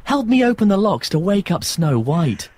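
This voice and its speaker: A man's voice: high voice, very animated, moderate pace, darkish tone. A man playing an earnest, eager young prince in a children's fairy tale. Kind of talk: children's storybook acting.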